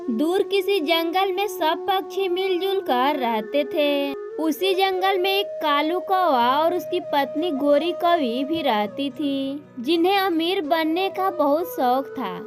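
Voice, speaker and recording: A woman's voice: very high-pitched, takes it slowly, music in the background. A woman narrating a scripted children's story as the storyteller.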